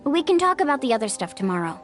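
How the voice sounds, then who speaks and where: A woman's voice: high voice, sing-song, fast. An actress voicing a caring young woman who leads her group as their guide in a video game, reassuring and upbeat. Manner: warm, reassuring, leader-like. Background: game music.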